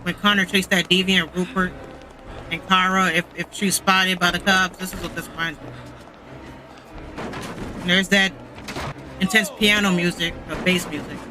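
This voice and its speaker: Male streamer, informal gameplay chat, distinctly high pitch, clear game music in the background. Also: fairly even intonation, moderate pace, long pauses, bright, forceful tone. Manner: informal, chatty. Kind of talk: casual gameplay commentary.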